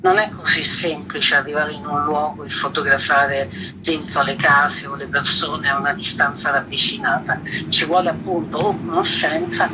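A woman being interviewed as an exhibition curator, explaining in an earnest, reflective way with searching pauses. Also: sits low, steady tone. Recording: clearly noisy background.

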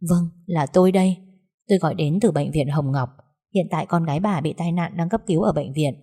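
A woman's voice: low-pitched, brisk, dark tone. A woman voicing a young female hospital caller who speaks politely and formally.

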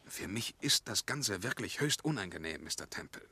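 An actor in a 1950s German radio play as an uneasy, defensive bank clerk, hesitant yet earnestly insistent and eager to please. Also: male voice, on the low side, big pitch swings, normal speed, pushed and bright, breathy edge, heavily acted.

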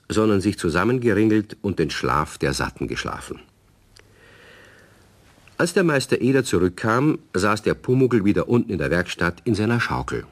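An actor as the narrator of a children's radio play, telling the story in scripted storybook style. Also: male voice, sits low. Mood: amused, unhurried.

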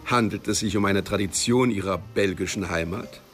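A man's voice: low voice, normal speed, soft background music, natural voice. A man playing a genial, cultivated doctor in a radio drama, teasing and coaxing in an educated manner.

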